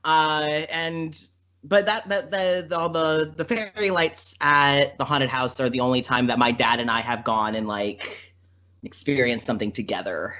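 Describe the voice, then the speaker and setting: A man's voice: medium pitch, moderate pace, bright, forceful tone. An American man chatting casually as a podcast guest, telling personal anecdotes in a self-deprecating, jokey way. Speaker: podcast guest, audio drama creator. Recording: dull, lo-fi online call audio.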